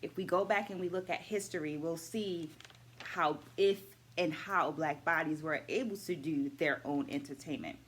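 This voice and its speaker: A middle-aged woman, a dance educator, talking through her takeaways from a book in a measured vlog, stacking her points in lists and asking viewers to comment. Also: low-pitched, breathy edge. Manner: asks viewers questions and invites comments.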